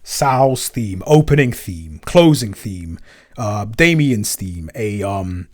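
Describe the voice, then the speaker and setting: A man's voice: on the low side, big pitch swings, slow pace. A man co-hosting a casual Q&A as a composer, explaining things in a thoughtful, rambling way and often correcting himself. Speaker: podcast co-host and soundtrack composer. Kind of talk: casual Q&A chat.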